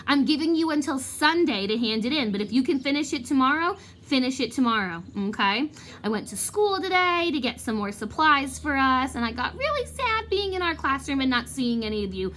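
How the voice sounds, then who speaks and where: A woman schoolteacher speaking to children, with an expressive read-aloud style and casual, informal address. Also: sits high, a little hiss or hum. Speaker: fourth grade teacher.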